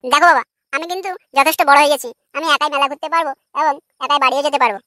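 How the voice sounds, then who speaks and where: A woman's voice: high voice, fairly even intonation, racing, bright, forceful tone, no echo, studio quality. A woman voicing a cheeky, foul-mouthed, argumentative village boy in a comic cartoon. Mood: defiant. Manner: brash, argumentative, swearing.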